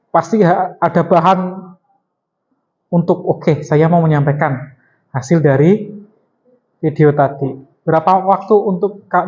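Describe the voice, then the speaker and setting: A man's voice: a little high, darkish tone, muffled recording. A man teaching a class, prompting students with short questions and repeating their answers.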